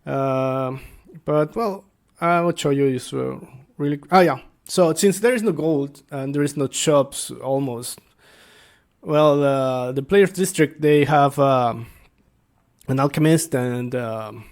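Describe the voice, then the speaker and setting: Guy doing a casual gaming vlog, talks slow and kinda gravelly, keeps hunting for words. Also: mid-range voice. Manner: halting, searching for words. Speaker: tabletop game master and video host. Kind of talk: casual unscripted explainer vlog.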